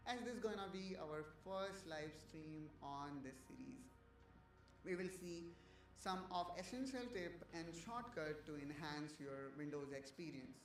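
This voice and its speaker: Hesitant male livestream host, higher voice. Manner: hesitant, many fillers and restarts, checking with viewers. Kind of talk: casual livestream tutorial.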